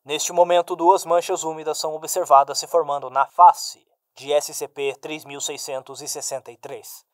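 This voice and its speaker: A man narrating a formal report in a measured reading. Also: on the high side, level tone, no echo, clean studio sound.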